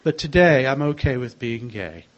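An older man with a soft voice, sharing his own story in a gentle, wry way. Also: medium pitch, expressive, normal speed, lo-fi sound, slight background noise. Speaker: speaker sharing a recovery story. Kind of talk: personal testimony to a group.

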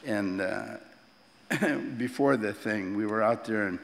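An eighty-year-old man giving personal testimony at a recovery meeting, halting and repeating himself with frequent chuckles. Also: fairly low pitch, gravelly, in a large hall. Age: 80s. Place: large meeting room with tables.

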